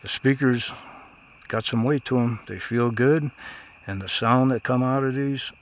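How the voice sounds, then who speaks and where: A man's voice: medium pitch, measured pace. A man giving a casual, unscripted product review in a plainspoken, folksy way.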